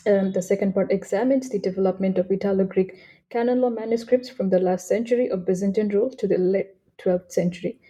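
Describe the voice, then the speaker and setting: A woman's voice: mid-range voice, warm tone, poor audio. A woman hosting a podcast and reading out a guest introduction, with small slips and restarts. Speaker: podcast host.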